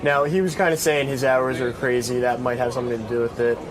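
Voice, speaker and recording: A man's voice: medium pitch, little pitch movement, fast, crowd noise, poor audio. A man asking prodding questions in short street interviews.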